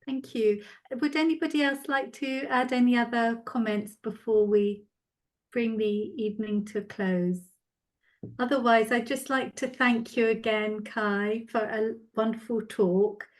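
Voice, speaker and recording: A woman's voice: fairly high pitch, fairly even intonation, measured pace. A woman moderating an online question session, speaking politely and formally with hesitant phrasing as she hosts and reads out comments. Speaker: host and moderator of an online art talk. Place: online video event.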